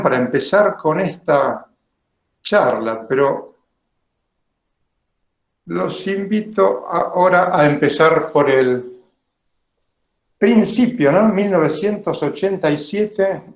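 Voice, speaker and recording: A man in his fifties with an Argentine accent gives an informal illustrated lecture over a video call, speaking conversationally and often adding little check-in questions. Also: a little high. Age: 50s. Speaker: biologist giving a talk. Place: indoor room, online video call. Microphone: video call microphone. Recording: roomy, dull online meeting audio.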